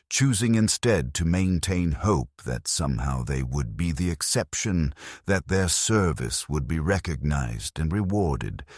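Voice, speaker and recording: A man reading a scripted first-person military sci-fi story in a formal, measured, detached manner. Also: very deep voice, a little mellow, dry recording, studio quality. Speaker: narrator of fiction stories.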